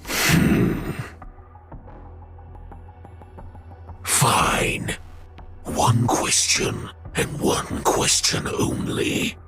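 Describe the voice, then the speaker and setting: An actor playing a menacing machine villain in a video game, mocking and condescending toward humans. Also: male voice, extremely low pitch, sing-song, extremely slow, gravelly, fairly bright tone, faint music behind, full character voice. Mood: smug threat. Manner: taunting, condescending. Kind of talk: dramatic video game voice acting.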